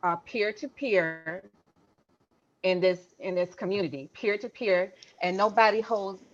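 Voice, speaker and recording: A woman on a video call, reading definitions aloud haltingly and explaining them. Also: mid-range voice, slight background noise. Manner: halting, reads aloud with stumbles. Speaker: call participant. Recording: dull lo-fi call audio.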